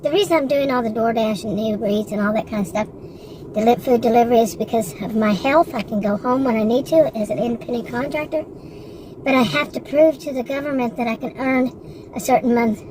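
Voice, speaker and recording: A woman's voice: a little high, a little mellow, a little hiss or hum, poor audio. A woman in her sixties in a rambling, frustrated casual monologue, with many restarts and asides. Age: 60s. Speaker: vlogger.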